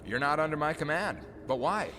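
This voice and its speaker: An actor playing a brisk, matter-of-fact general in a science fiction audio drama. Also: male voice, fairly high pitch, lively intonation, over music, lightly acted. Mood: businesslike. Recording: reverberant hall, faint background noise.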